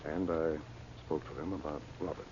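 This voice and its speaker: An actor playing an earnest, determined mystic adventurer in an old-time radio serial. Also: male voice, rumbling low, steady tone, moderate pace. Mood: earnest, reassuring. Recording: dull, noisy vintage radio recording.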